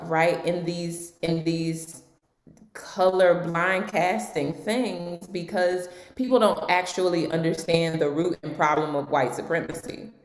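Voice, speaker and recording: A woman's voice: low-pitched, darkish tone. A woman moderating a roundtable over a video call, speaking earnestly and with emphasis. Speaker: moderator, actress. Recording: echoey room on a video call.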